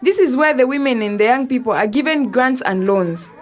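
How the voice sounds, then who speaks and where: A woman with a Zambian accent playing a bright, respectful young woman who patiently explains things in an educational audio drama. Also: high-pitched, expressive, a little fast. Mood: earnest, explanatory.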